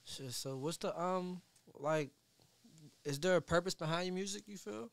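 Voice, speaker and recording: A man in his thirties hosting a podcast in a small studio, talking in a relaxed, slangy way into a boom mic. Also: moderate pace, vocal fry. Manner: laid-back slangy talk, relaxed hype. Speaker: podcast host. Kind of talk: casual interview. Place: small studio with foam wall. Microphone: studio mic on boom arm, headphones.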